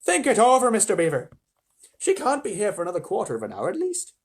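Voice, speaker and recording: A parent reading a storybook aloud, voicing a brisk, motherly female beaver who calls others dearie. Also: mid-range voice, huge pitch swings, husky, pitched above the performer's own voice, full character voice. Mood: soothing.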